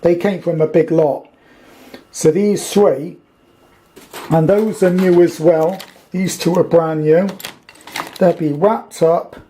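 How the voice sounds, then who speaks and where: British man, soft mellow tone, slightly high pitch, casual hobbyist demonstrator, thinking aloud. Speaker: hobbyist radio restorer presenting a video. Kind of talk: casual unscripted hands-on demonstration. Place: indoors in a small domestic kitchen. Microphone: handheld camera's built-in microphone.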